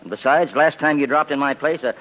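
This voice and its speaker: An actor playing a defensive, slangy pool-room owner and bookie in an old-time radio drama. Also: male voice, a little high, brisk, highs cut off, close to an everyday voice. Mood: whining, pleading. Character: evasive, grudging male pool-room owner, ex-con bookie.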